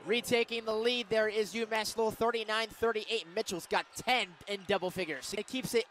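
A man doing live radio play-by-play of basketball, excitable and breaking into shouts on big plays. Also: high voice, moderate pace, slight background noise. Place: indoor college basketball arena.

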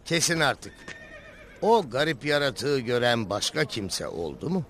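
A man's voice: medium pitch, big pitch swings, measured pace, a bit bright, slight background noise. A man voicing a stern, shrewd caravan master in a cartoon, questioning and giving orders with authority. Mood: stern, demanding. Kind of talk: cartoon dubbing.

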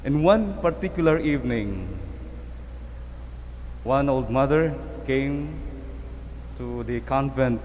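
A man's voice: medium pitch, takes it slowly, long pauses, darkish tone, a little hiss or hum. A Filipino priest giving a conversational homily at a lectern mic in a church, telling a story and often repeating his words. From Philippines. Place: church sanctuary. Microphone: stand mic at lectern. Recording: reverberant church, faint ambience.

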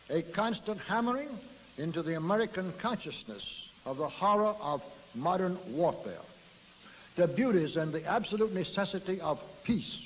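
An older man delivering a formal prepared speech from a podium to an audience, in measured oratory, counting off his points one by one. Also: sits high, slight background noise. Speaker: speaker addressing an audience. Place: large hall, at a podium. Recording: old archival recording in a reverberant hall.

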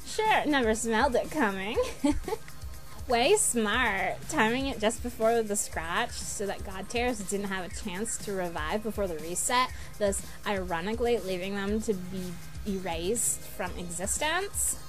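A woman voicing an exuberant, brash young troll gamer girl who talks in drawn-out slang in an amateur cartoon fandub. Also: mid-range voice, expressive, natural voice. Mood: gushing, excited. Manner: exuberant surfer-style slang, drawn-out exclamations. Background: music.

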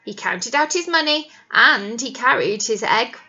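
Animated, high-voiced woman reading to children. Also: unhurried, breathy edge, muffled recording. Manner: expressive, coaxing with questions to listeners. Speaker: teacher reading a picture book. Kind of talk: animated storytime read-aloud for young children. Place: small classroom, indoors.

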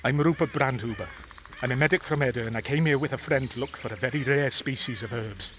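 An actor playing an earnest, nervous medic in a fantasy video game, speaking rather formally. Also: male voice, mid-range voice, fast talker, a bit bright, slight background noise, close to an everyday voice. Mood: earnest, uneasy. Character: anxious, earnest male army medic in fantasy world.

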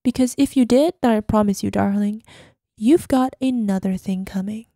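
A woman's voice: mid-range voice, normal speed, dark tone, no room sound, clean studio sound, natural voice. A woman playing an obsessive, possessive girlfriend in an ASMR roleplay voicemail, sweetly menacing, dropping into whispers. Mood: possessive, threatening. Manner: breathy asides, drops into whispers, syrupy pet names.

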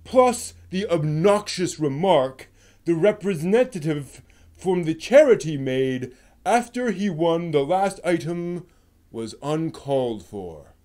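A man voicing an indignant puppet fan, declaiming slowly and emphatically in a comic dramatic reading. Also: fairly high pitch, expressive, a little mellow. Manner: slow, emphatic, aggrieved declaiming.

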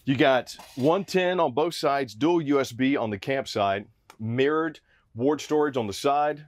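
A man in his forties giving an upbeat, conversational walkthrough of a travel trailer, recorded on a lapel mic in a small room. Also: a little slow. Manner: upbeat, conversational salesman pitch. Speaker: RV sales presenter. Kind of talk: walkthrough product review.

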